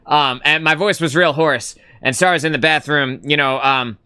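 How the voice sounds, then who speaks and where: A man's voice: on the high side, steady tone, moderate pace, sharp tone, clear, resonant tone. A young man hosting a casual live gaming stream, rambling and swearing casually as he greets chat.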